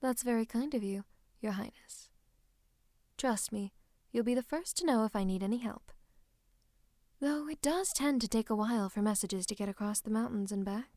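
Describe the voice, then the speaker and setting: A woman playing a scheming royal advisor in a fantasy roleplay, speaking close to the listener in a coaxing, teasing, falsely comforting way with breathy asides. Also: fairly high pitch, moderate pace, a little mellow, dry recording, close to an everyday voice. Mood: sympathetic, silky. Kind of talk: roleplay ASMR monologue to the listener. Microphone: close microphone.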